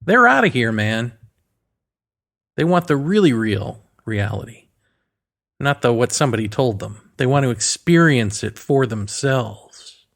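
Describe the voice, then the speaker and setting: A man's voice: mid-range voice, animated, unhurried, gravelly, a bit bright. Man delivering a sardonic philosophical monologue. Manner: rhetorical questions and emphatic repetition. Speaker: commentator delivering a solo monologue.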